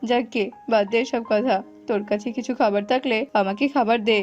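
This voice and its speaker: A woman's voice: fairly high pitch, fairly even intonation, a little fast, a little mellow, natural voice. A woman voicing a poor, sorrowful, tender jackal father with a plaintive, exclamatory cartoon delivery. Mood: earnest. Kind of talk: cartoon voice acting. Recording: muffled, music underneath.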